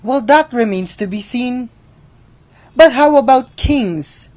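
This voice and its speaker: A woman playing a slow-talking, sardonic Death. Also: fairly high pitch, expressive, a little mellow. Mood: wry, amused. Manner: slow, deliberate, mocking. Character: Death, sardonic, playful, menacing male personification. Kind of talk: radio drama acting.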